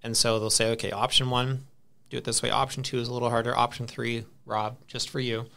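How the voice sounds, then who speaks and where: A man's voice: medium pitch, flat delivery, brisk, gravelly, sharp tone, slight background noise. A man in his thirties, a podcast guest, chatting casually in an easygoing, rambling way and laughing at himself. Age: 30s. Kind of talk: casual chat.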